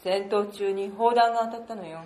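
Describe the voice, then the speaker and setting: A woman's voice: medium pitch, steady tone, normal speed, a little mellow, a little hiss or hum, lightly acted. A woman voicing a brusque, flustered young female time-police agent in an amateur radio drama, with curt, defensive retorts. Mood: brisk.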